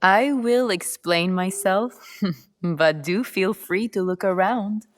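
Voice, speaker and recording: A woman playing a poised, cunning, self-assured game character with a measured, unhurried way of talking. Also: medium pitch, natural voice. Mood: sly, inviting. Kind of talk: video game cutscene acting.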